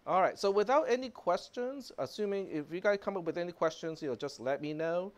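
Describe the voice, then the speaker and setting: A man whose first language is Cantonese, giving a casual, chatty university computer science lecture in a classroom, with plenty of fillers. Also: sits high. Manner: relaxed, chatty, lots of fillers, chuckles.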